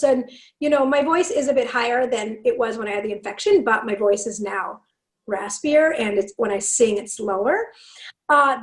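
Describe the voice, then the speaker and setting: A woman from Canada with a raspy, fairly deep voice, telling stories in a casual chat and acting out the lines she quotes. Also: echoey room, low-quality recording. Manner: animated, acts out quotes, laughs often. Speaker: podcast guest, singer and speaker.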